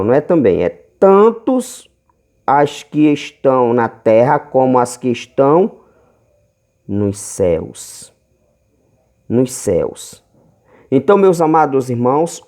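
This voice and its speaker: Brazilian guy preaching bible stuff, mellow dark voice, keeps saying brothers, drags out words. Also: medium pitch. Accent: Brazilian Portuguese. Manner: reads verses slowly, stretching key words; fervent, calls listeners brothers often. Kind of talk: home Bible teaching video.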